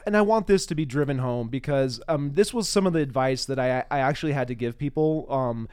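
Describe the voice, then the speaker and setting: A man's voice: mid-range voice, fairly quick, a little mellow, smooth. A man hosting a podcast, chatting informally and laughing easily as he interviews a guest. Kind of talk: casual interview chat.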